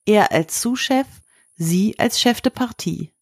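Measured woman narrator, very dry sound. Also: medium pitch, lively intonation, slightly rough, no room sound. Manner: measured, literary reading. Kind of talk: scripted story narration.

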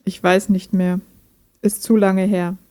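A woman playing a gentle, guarded chatbot that talks like a human in an intimate radio play, thoughtful and understated. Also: mid-range voice, level tone, takes it slowly, no echo, natural voice. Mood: pensive.

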